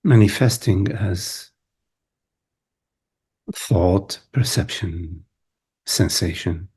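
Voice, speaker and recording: A man leading a guided meditation as a teacher, speaking in short contemplative phrases with long pauses. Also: deep voice, darkish tone, slightly rough. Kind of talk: guided meditation, spoken softly to listeners.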